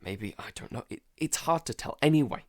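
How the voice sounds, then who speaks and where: Man acting a paranoid loner, wildly swinging pitch. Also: expressive, no echo, studio quality, close to an everyday voice. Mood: distracted, uneasy. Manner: halting, stammering, sighing, dropping into whispers. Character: paranoid isolated man unravelling under a creeping unseen menace. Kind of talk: audio drama monologue, fragmented confessional.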